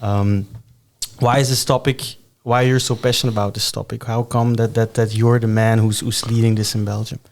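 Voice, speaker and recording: A man's voice: fairly low pitch, flat delivery, a little mellow, slight background noise. Podcast host man, stumbling questions. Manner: halting, repeats words when asking questions. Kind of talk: podcast interview.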